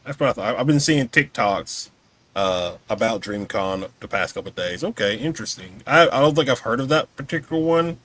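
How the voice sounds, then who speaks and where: A man with a medium-pitched voice hosts a podcast. He speaks in a casual, conversational way as he interviews a guest. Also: lively intonation, a little fast, fairly bright tone.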